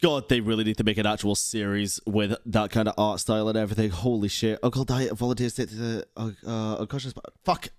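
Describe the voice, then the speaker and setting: A man's voice: lowish voice, fairly even intonation, a little fast, few pauses, no echo. Casual sweary man reacting to a game. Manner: sweary, awed. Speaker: game streamer. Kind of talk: casual reaction commentary over gameplay.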